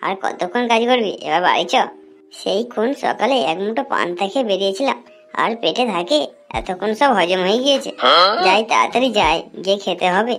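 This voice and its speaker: A woman's voice: mid-range voice, lively intonation, fairly quick, faint music behind, natural voice. A woman voicing a blunt, foul-mouthed young village clothes seller in a cartoon, given to grumbling and scolding. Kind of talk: cartoon dialogue.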